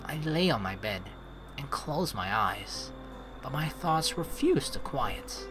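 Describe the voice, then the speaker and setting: A woman doing casual let's play commentary and reading game dialogue aloud in an expressive, playful, sweary way. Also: a bass voice, slow pace, music in the background, low-quality recording.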